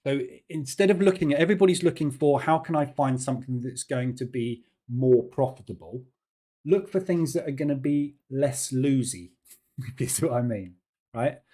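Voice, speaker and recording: A man's voice: mid-range voice, normal speed, dark tone. A man hosting a live trading stream, talking casually and explaining things plainly, often checking in with "yeah.". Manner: chatty, explains plainly with "yeah" tag questions.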